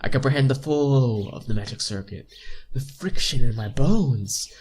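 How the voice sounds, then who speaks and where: A man's voice: mid-range voice, expressive, moderate pace, a little mellow, slight background noise. Young man casually reading a game aloud. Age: young adult. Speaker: gameplay video host. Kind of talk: casual visual novel playthrough, reading text aloud with asides.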